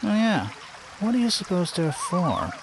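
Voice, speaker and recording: A man playing a quippy, sarcastic detective with a wry, expressive delivery in a mystery adventure game. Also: sits high, measured pace, noisy background, full character voice. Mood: playful, wry. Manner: wry, dryly joking, expressive. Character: quippy, sarcastic male detective, curious and easily baffled.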